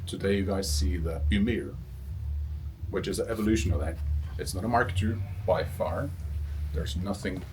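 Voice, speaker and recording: Very low-voiced man, distant and noisy. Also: moderate pace, long pauses, darkish tone. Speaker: loudspeaker company presenter. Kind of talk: live product talk to visitors. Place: indoor exhibit room at audio show. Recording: distant mic in noisy show room.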